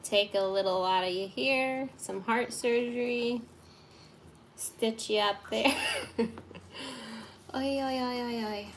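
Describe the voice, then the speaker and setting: A woman's voice: a little high, slow, long pauses, slight background noise. A woman in her thirties chatting and giggling while she bakes at her kitchen table, talking into a phone camera during a livestream. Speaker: livestream baking host. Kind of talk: casual chat while baking. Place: home kitchen table, indoors.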